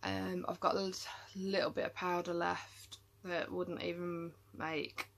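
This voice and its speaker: A woman's voice: fairly low pitch, flat delivery, unhurried, creaky. A tired woman chatting casually to camera in a rambling vlog, with lots of ums and trailing thoughts. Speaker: vlogger.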